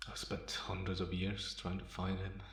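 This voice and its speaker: A man playing a bitter, guarded, centuries-old vampire in a slow ASMR roleplay monologue with measured pauses. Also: low-pitched, monotone, vocal fry, a bit bright. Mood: haunted, bitter. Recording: roomy, echoing sound.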